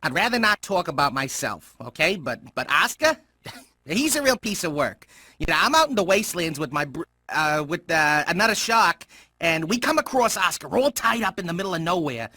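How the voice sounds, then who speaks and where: A nervous young cartoon shark. The voice is highish and raspy-husky, stumbling and correcting itself evasively. It is a game monologue in a dry booth. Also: male voice, big pitch swings, sharp tone, dry recording, heavily acted. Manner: halting, self-correcting, nervous. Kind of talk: video game cutscene monologue.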